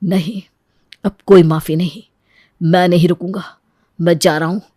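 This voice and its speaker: A woman's voice: on the low side, fairly even intonation, a little slow, mellow, no room sound. Woman playing adult husband, story drama, blunt, firm, dry recording. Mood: firm, angry. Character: dismissive, then firm and loyal adult son and husband. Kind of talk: Hindi moral story voice acting.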